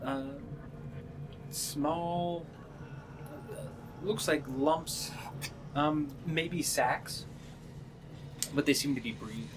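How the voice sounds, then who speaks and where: A man narrating a tabletop game as game master, describing scenes in a halting, improvised way. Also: on the high side. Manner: halting, pieces descriptions together as he goes. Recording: clearly noisy room sound.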